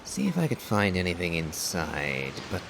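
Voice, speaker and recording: A man giving deliberate, pondering game commentary in wordy, thoughtful phrases. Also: low voice, very animated, creaky, poor audio. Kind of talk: slow, musing game commentary and dialogue reading. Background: game music.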